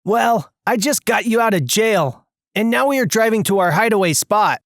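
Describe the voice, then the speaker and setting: A narrator voicing a brusque, bossy accomplice in an animated story, commanding and dismissive. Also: medium pitch, moderate pace, no echo, studio quality, voice pushed up. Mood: urgent.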